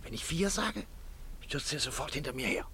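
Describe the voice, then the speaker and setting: An actor in a German radio play playing an earnest, impatient amateur detective, insistent and commanding. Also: male voice, fairly high pitch, sing-song, normal speed, gravelly, sharp tone, slight background noise, full character voice. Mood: tense, commanding. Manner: insistent, matter-of-fact, emphatic.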